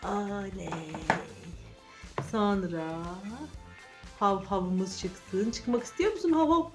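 A woman's voice: medium pitch, sing-song, slow. A woman hosting children's toy play, narrating playfully and talking to the toys in sing-song encouragement. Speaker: children's channel host. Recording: music under the voice. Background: music.

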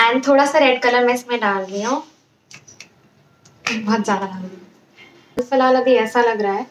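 High-pitched young Indian woman vlogger, echoey. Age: 20s. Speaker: home cooking vlogger. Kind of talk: casual cook-along vlog chat, switching between Hindi and English. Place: indoors at home, small curtained room. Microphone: phone camera a few feet away. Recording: echoey room, background noise, phone camera.

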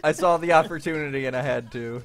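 A man's voice: a little high, quick pace. A man bantering casually with friends during a game stream. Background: video game music.

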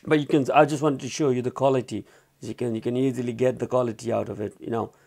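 A man's voice: medium pitch, steady tone, fast, gravelly, a little mellow. A man presenting a casual product review, talking informally in loose phrases and often repeating himself. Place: indoors, small room at a desk.

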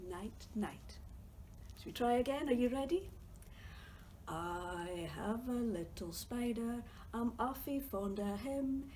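Scottish woman, storytime leader, slow, mellow, sing-song delivery for toddlers. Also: on the high side, lively intonation, a little hiss or hum. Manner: playful sing-song reading, drops to whispers. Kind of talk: reading a picture book and singing to young children. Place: library reading corner.

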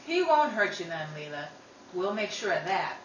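A woman's voice: fairly low pitch, big pitch swings, normal speed. A woman playing a hot-tempered, protective older sister in a 1930s radio drama, brash, scrappy and slangy. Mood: brisk, bossy. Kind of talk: old-time radio drama acting. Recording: roomy, distant mic, faint noise.

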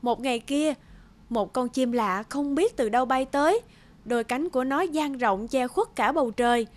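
A woman narrating a fairy tale for a cartoon in a smooth storytelling voice. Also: high-pitched, moderate pace. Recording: narration over loud music.

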